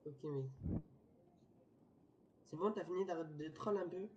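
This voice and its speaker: A man's voice: a little high, normal speed, darkish tone, highs cut off. A man playing an online roleplay game on voice chat, talking crudely and repeating himself in exasperation. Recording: noisy gaming voice chat.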